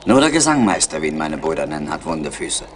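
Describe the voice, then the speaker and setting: A man acting a solemn, calm young Mohican tracker in a German radio play, speaking in a measured, stately way. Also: very deep voice, noisy background. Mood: observant.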